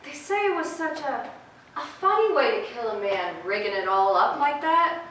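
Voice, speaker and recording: A woman's voice: on the high side, expressive, normal speed, fairly bright tone. A woman playing a timid, dutiful, rule-anxious sheriff's wife in an amateur stage play, with hesitant, polite rural phrasing. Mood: uneasy. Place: indoor theater stage, distant microphone. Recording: echoey stage from far off, faint noise.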